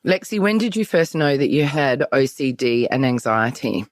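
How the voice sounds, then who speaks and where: A woman's voice: extremely low pitch, big pitch swings, moderate pace, no echo, studio quality. A middle-aged woman with an Australian accent, hosting a conversational podcast interview.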